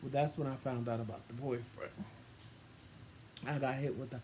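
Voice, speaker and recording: A man's voice: medium pitch, steady tone, moderate pace, darkish tone. A man telling a personal story casually, in a dry, sassy, unimpressed way, acting out bits of remembered dialogue. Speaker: co-host telling a couple's story. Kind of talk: casual storytelling with acted-out quotes. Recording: noisy room ambience.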